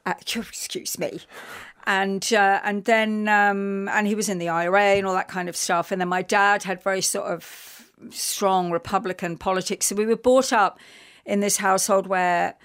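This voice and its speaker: A woman from London with an English accent, a guest chatting frankly and quickly in a radio interview. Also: medium pitch, steady tone, a bit bright, clean tone. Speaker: guest performer. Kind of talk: casual interview chat.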